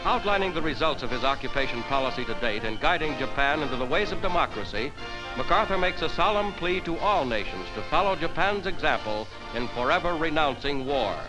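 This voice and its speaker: Male 1940s newsreel narrator, American, higher-pitched, formal and declamatory, over prominent music. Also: animated, brisk, rough recording. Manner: formal, declamatory announcer delivery. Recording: 1940s newsreel soundtrack, loud music behind.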